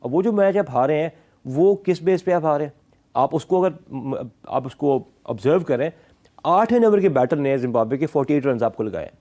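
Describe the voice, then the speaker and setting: A man's voice: on the high side, animated, normal speed, warm tone. A man with a Pakistani accent giving sports talk show analysis in an emphatic, explanatory way, often switching between two languages. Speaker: cricket analyst.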